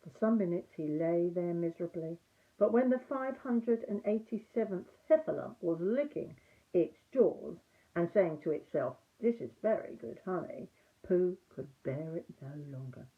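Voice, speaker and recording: An older woman reading a children's story aloud in an expressive, emphatic storytime manner. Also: on the low side, moderate pace, phone-quality sound. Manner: animated, emphatic reading for young listeners.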